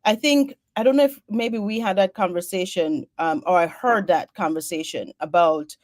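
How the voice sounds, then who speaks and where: A woman's voice: lowish voice, normal speed, no echo, studio quality. A woman from Jamaica with a Jamaican accent, hosting a casual interview over a video call from her desk and often chiming in with short agreements. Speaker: host. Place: home office at a desk.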